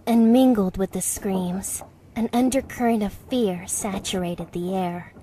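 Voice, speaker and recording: A woman's voice: medium pitch, unhurried, dark tone, a little hiss or hum, natural voice, poor audio. A woman playing a composed, caring young woman who narrates her own story in a visual novel fan dub. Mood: tense, descriptive.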